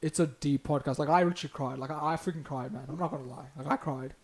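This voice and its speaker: A young Australian man with a high-pitched voice chats as a podcast host. He is excitable and loose, throwing in "bro" and swearing, and laughs often. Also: quick pace. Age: young adult. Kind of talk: casual chat.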